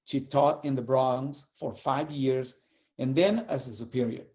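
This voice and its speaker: A man from El Salvador, giving an earnest, measured reflection and reading aloud with pauses. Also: mid-range voice, darkish tone. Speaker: community organizer sharing a reflection.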